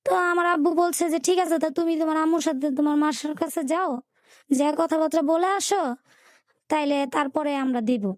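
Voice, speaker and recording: A woman with a Bangladeshi Bengali accent telling her life story in an interview, in a colloquial, halting way with repeated filler words. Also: sits high, no room sound, studio quality. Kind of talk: personal storytelling in a radio interview.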